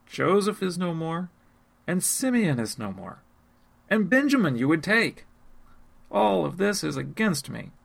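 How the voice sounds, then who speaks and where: A grieving elderly father clinging to his last son, as a man reads him aloud. Each line comes slow and heavy. His voice carries a gravelly grain. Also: sits high, very animated, exaggerated voice. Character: grieving old father refusing his sons.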